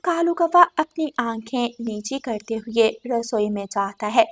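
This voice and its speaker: A woman with a high-pitched voice serves as narrator. She reads a scripted children's story in storytelling style. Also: animated, unhurried.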